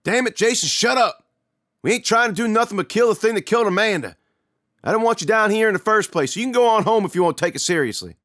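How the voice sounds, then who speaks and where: A man's voice: lively intonation, a bit bright, no room sound, clean studio sound. Man playing a drawling teen big brother. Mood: urgent. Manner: slow, drawling, low storytelling. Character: teasing teenage older boy, spinning spooky tales.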